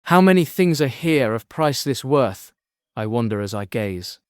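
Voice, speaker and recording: An actor playing an earnest, admiring young hero prince in an epic audio drama, with formal archaic phrasing. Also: male voice, mid-range voice, animated, normal speed, clear, resonant tone, no room sound, clean studio sound, natural voice. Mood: awed, confiding.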